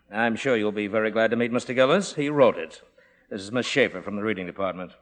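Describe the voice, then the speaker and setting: Curt studio producer male actor, lowish and brisk, all business, vintage radio play. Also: close to an everyday voice. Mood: smooth, dismissive. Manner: clipped, businesslike. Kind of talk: 1950s radio drama acting.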